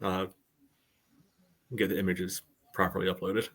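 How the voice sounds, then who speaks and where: A man's voice: deep voice, little pitch movement, measured pace, fairly bright tone, slightly rough. A man co-hosting a podcast in casual sports chat, often saying "you know" as he goes. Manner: peppers speech with "you know". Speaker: podcast co-host.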